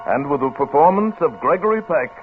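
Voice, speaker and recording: A man announcing a 1950s radio program in a grand, dramatic, drawn-out style. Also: on the high side, animated. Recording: muffled vintage radio, music behind.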